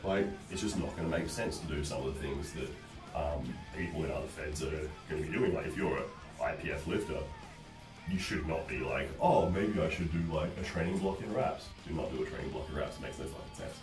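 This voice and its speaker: Croaky sick guy, real deep, blunt casual coach talk, echoey room, mic a bit far off. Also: a little fast. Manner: blunt, sweary, joking. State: sick with a chest infection. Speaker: host, powerlifting coach. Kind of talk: casual instructional chat. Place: small bare-walled room indoors. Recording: roomy echo, distant mic, faint music.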